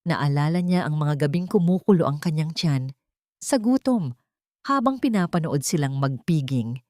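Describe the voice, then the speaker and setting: A woman narrates a dramatic audiobook in a slightly low voice. The sound is dry and close, with scripted, story-driven delivery. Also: a little mellow, dry recording.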